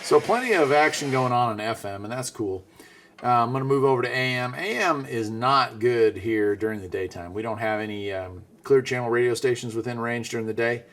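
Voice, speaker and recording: A man's voice: medium pitch, a little fast, a bit bright. A man giving a casual product review in a folksy, easygoing way.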